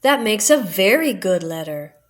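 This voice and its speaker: A woman's voice: mid-range voice, very animated, unhurried. Woman doing a gloomy, plaintive storybook toad. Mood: pleasantly surprised. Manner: plaintive, stubborn. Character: gloomy, grumbling male toad, easily discouraged. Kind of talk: children's storybook read-aloud.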